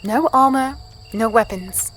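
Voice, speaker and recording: High-voiced, plucky young-heroine fighter. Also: female voice, a little slow, a bit bright, soft background music. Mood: tense storytelling. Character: plucky, spirited small female fighter and archer. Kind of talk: first-person dramatic narration and dialogue.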